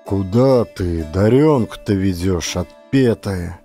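Man acting a gruff, grumbling village thief. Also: low-pitched, slow, mellow, soft background music, voice pushed down. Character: surly, quarrelsome drunken village thief, grown man.

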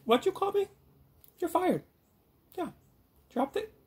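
A man's voice: extremely high pitch, sing-song, slow, darkish tone, full character voice. A man voicing a bossy, exasperated female chef puppet who hosts a comic cooking show with theatrical complaint. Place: home garage.

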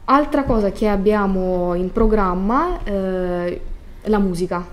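A woman's voice: mid-range voice, animated, slow. A woman in her thirties talking casually in a studio interview, hesitating as she searches for words. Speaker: guest event organiser. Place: small glass-walled broadcast studio. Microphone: studio desk microphone.

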